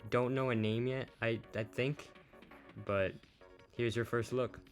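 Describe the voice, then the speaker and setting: A man hosts a casual gaming news summary in an informal, conversational way. His voice sits at a middling pitch. Soft background music with guitar plays behind him. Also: fairly even intonation, measured pace. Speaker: YouTube host giving gaming news. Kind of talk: casual news summary commentary over images.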